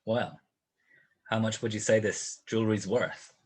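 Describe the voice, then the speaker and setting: A man's voice: fairly low pitch, steady tone, a little slow, husky. A man playing a dry, world-weary private detective in a radio drama, questioning in a laconic, clipped way. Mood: dry, probing.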